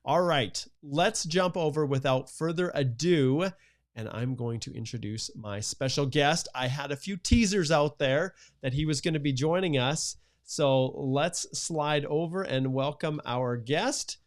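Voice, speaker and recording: A man's voice: on the high side, expressive, unhurried. A man hosting a live-streamed immigration Q&A, chatting casually and reading out viewer comments, with lots of "uh" fillers. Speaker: immigration lawyer, stream host.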